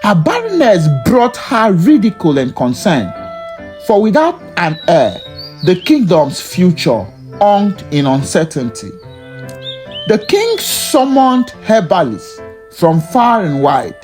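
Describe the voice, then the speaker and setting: Slow, expressive folktale narrator. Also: mid-range voice, faint music behind. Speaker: storyteller.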